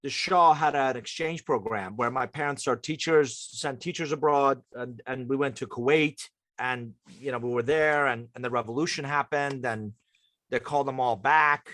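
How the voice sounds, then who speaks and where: A man's voice: mid-range voice, normal speed, fairly bright tone, no room sound. A man in his fifties from Iran, a native Persian speaker, talking conversationally and emphatically as an interview guest who coaches leaders. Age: 50s.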